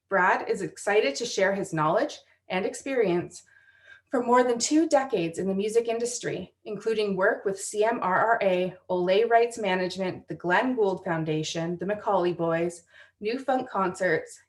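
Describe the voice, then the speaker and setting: Woman reading a scripted introduction. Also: medium pitch. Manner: steady, scripted delivery. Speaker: event presenter.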